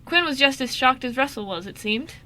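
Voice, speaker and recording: A woman's voice: sits high, lively intonation, a little fast, bright, forceful tone. A woman narrating a scripted radio drama, reading expressively.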